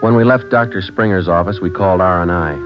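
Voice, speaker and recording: An actor playing a terse police detective who narrates the case in a clipped, deadpan way in a 1940s radio drama. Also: male voice, deep voice, fast talker, over loud music, close to an everyday voice. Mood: flat. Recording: dull old radio broadcast.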